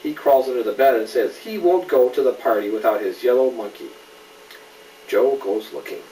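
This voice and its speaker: A man's voice: medium pitch, normal speed, mellow. Man reading a picture book, roomy distant recording. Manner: explains patiently, asks the child questions. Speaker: father reading a story to a child. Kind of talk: read-aloud picture book storytelling with casual asides. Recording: roomy, distant mic, faint background noise.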